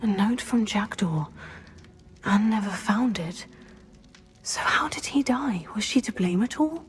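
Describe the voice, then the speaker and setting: An actress playing an earnest, composed teenage witch heroine in a video game, measured and polite. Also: mid-range voice, expressive, close to an everyday voice. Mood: musing. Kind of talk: video game character acting.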